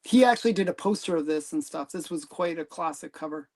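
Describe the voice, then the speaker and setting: A man hosts a relaxed livestream chat about his collection. He hesitates often, filling gaps with ums and leaving sentences unfinished. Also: on the high side, fast talker, over a noisy crowd. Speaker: comic collector host.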